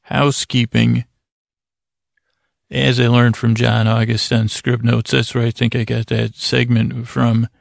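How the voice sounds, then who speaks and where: A man hosting a bedtime podcast in a meandering, halting monologue, gently silly and self-deprecating, with words repeated and thoughts drifting off into tangents. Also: mid-range voice, little pitch movement, no room sound, studio quality. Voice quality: gravelly, creaky. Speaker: podcast host. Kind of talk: rambling bedtime monologue to help listeners sleep.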